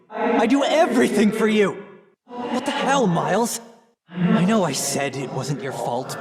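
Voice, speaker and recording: A man playing a nerdy, excitable teenage boy in a video game, the hero's tech-savvy best friend, with a casual, wisecracking delivery. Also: fairly high pitch. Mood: hurt, angry.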